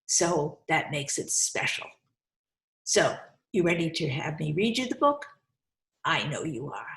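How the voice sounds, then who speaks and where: A woman hosting a children's storytime, speaking deliberately and instructively as she reads and explains, often repeating phrases for young listeners. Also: deep voice, big pitch swings, gravelly, fairly bright tone. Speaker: host reading children's books aloud. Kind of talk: storytime read-aloud with commentary to children. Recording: roomy, echoey sound.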